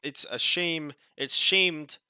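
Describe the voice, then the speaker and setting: A man's voice: a little high, slow pace, pushed and bright, clear, resonant tone, dry recording, studio quality. A young man with a stuffy, nasal voice from a cold, giving blunt, dismissive commentary as a channel host, often restarting his sentences. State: sick, nasal voice. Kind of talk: casual political commentary.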